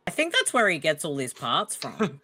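A woman co-hosting a podcast in casual comedic banter, blunt and quick to swear. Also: deep voice, huge pitch swings, bright, forceful tone. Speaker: podcast co-host.

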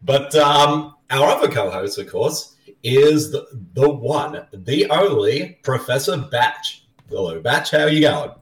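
A man's voice: medium pitch, big pitch swings, takes it slowly. A man with an Australian accent hosting a podcast in casual, jokey chat, laughing often. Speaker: podcast host. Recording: roomy sound from a distant mic.

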